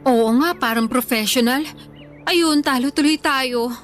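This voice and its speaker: A woman's voice: sits high, unhurried, faint music behind. An actress with a Filipino accent playing an anxious, self-pitying young woman in an emotive Tagalog radio drama. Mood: lighthearted. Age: young adult.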